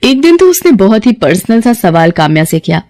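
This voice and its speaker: A woman's voice: medium pitch, sing-song, a little fast, darkish tone. A woman working as a narrator, reading a scripted story.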